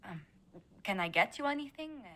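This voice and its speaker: A woman playing a courteous, caring noblewoman in a fantasy video game, polite, gentle and slightly hesitant. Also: mid-range voice, expressive, a little fast, lightly acted. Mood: concerned. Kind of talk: dramatic game dialogue.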